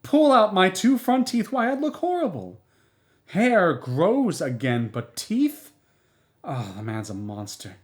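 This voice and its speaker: A man voicing a young woman, high-pitched and exclaiming, in a read-aloud story. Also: sing-song, takes it slowly, heavily acted. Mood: outraged. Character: young woman, laughing then furious.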